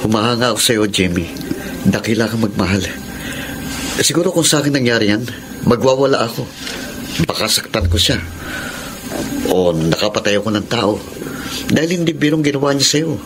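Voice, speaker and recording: A loyal Filipino best friend, played by a man talks frankly in a lowish voice. The delivery is dramatic, concerned radio acting over loud background ambience. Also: normal speed, pauses often, noisy background, close to an everyday voice. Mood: admiring indignation. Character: loyal, candid male friend of the groom.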